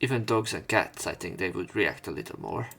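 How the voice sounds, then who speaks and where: A man with a fairly low voice hosts a let's play of a visual novel. He reads the story text aloud and mixes in his own casual, unpolished commentary. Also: brisk, a bit bright, slightly rough. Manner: informal, swearing in reactions. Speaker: let's player.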